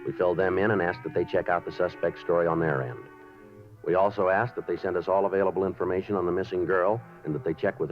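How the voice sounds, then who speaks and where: An actor playing a terse, patient police detective in a 1950s radio drama, dry and businesslike in speech and narration. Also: male voice, sits low, natural voice. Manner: clipped, dry, matter-of-fact. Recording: muffled 1950s radio recording, music behind.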